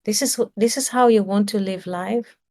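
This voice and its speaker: A woman's voice: medium pitch, moderate pace, darkish tone. Woman giving a searching spiritual talk. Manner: searching for words, rhetorical questions. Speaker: spiritual teacher.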